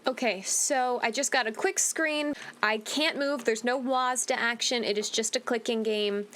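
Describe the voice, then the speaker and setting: A woman's voice: on the high side, moderate pace, fairly bright tone, slight background noise. A woman hosting a game playthrough with casual, chatty, rambling commentary. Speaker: gaming video host.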